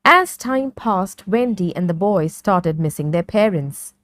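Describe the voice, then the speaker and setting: A woman narrating a scripted children's story in a measured, clearly phrased read-along manner. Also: fairly low pitch, lively intonation, a bit bright.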